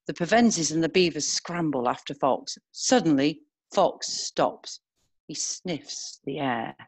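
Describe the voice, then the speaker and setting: A woman narrator reading scene descriptions as scripted screenplay narration. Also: sits low.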